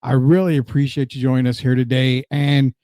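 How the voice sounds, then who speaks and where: A man hosts an interview show in an informal, chatty way. His voice is dark and relaxed with a middle pitch. The sound is very dry, with no room around it. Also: fairly quick, no echo. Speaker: host of an entertainment and sports show. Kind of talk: casual interview chat.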